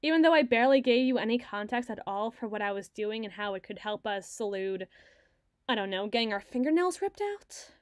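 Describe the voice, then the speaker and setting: A woman acting a sardonic, fast-thinking, bossy secret agent in an ASMR roleplay audio drama, wordy and wry. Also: on the high side, animated, smooth, no room sound, studio quality, lightly acted. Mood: flustered, defensive. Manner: wry, wordy, teasing, flustered when challenged.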